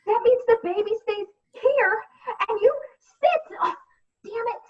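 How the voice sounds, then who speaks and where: A woman's voice: very high-pitched, measured pace, voice pushed up. A woman playing an exhausted, frantic single mother in a comedic radio play, with melodramatic exasperation. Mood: furious, frantic. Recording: dull, distant mic.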